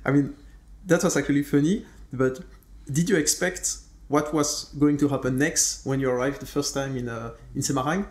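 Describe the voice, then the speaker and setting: A man's voice: mid-range voice, fairly even intonation, moderate pace. Interviewing man, roomy room sound. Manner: friendly, hesitant with fillers. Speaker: interviewer, company co-founder. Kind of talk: conversational interview. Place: studio room with sofa. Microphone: desk microphone. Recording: roomy echo.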